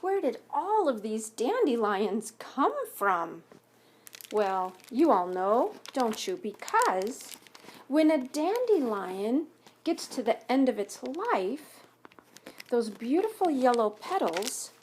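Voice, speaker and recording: A woman with a fairly high voice reads a children's story aloud at an unhurried pace. She is expressive and stops to question and explain in simple terms, as a teacher does for young pupils. There is faint noise behind her. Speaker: elementary school teacher reading aloud to students. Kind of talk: children's picture book read-aloud with explanatory asides and questions.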